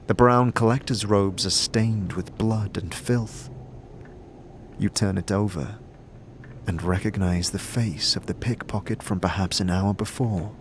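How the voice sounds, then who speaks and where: A man narrating a scripted second-person adventure story in a measured, dramatic manner. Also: fairly low pitch, a little hiss or hum. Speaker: narrator, game master. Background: music.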